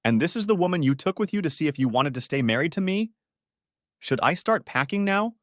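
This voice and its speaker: A man's voice: fairly high pitch, lively intonation, brisk, no echo, clean studio sound, pitched above the performer's own voice, natural voice. A man voicing a sarcastic, wounded husband in a dramatic story reading. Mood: accusing. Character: Steve, sarcastic, wounded husband needling his wife. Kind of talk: audiobook-style dramatic reading of dialogue.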